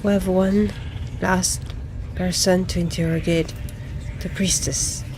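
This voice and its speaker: A woman gamer giving in-character roleplay commentary on a video game, musing in a deliberate way. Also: lowish voice, fairly even intonation, background noise.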